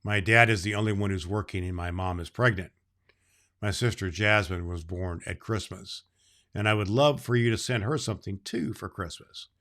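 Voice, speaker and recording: A man playing Santa Claus, reading a children's book aloud and talking to kids in a slow, gentle storytelling manner. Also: sits low.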